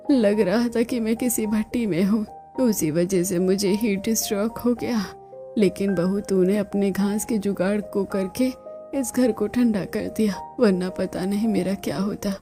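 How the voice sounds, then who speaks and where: A weary older mother-in-law from a cartoon story, acted out by a woman. She speaks slowly and softly, with an earnest, remorseful warmth, over a musical backing. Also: mid-range voice, faint music behind, close to an everyday voice. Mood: weak, remorseful. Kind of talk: Hindi cartoon story acting.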